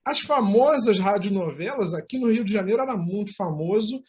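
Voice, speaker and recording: A man's voice: high voice, expressive, brisk, a crowd in the background. A man with a Brazilian Portuguese accent hosting an interview, asking long, courteous questions in slightly formal conversational phrasing. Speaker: interview host.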